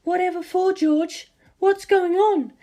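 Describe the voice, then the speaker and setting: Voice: extremely high pitch, steady tone, takes it slowly, dark tone, voice pushed up. A narrator voicing a puzzled mother character in a storybook reading. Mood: bewildered. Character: puzzled mother running a trading company.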